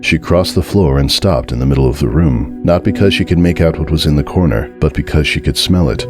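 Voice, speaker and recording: A man with an exceptionally deep voice reads a horror story aloud as its narrator. His delivery is dramatic, deliberate and suspenseful, with music playing underneath.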